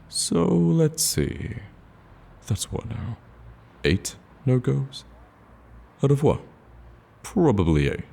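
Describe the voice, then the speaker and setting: A man playing a smug, flirtatious villain in an ASMR roleplay, teasing the listener in a casual, drawling, gravelly voice. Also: warm tone, heavily acted. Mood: amused teasing. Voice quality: deep, gravelly.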